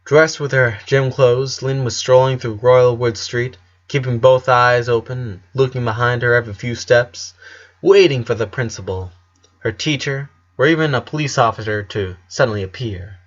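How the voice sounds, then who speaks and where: A man's voice: medium pitch, steady tone, moderate pace, lo-fi sound. A man reading a scripted story aloud as an audiobook narrator.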